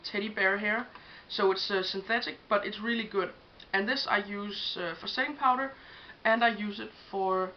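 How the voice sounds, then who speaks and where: A young woman from Denmark with a Danish accent, talking through makeup brushes in a casual, hesitant way with many ums, English not her first language. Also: mid-range voice. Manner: chatty, hesitant, many ums while showing products. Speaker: makeup vlogger. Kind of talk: casual beauty tutorial. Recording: dull lo-fi home recording with faint hiss.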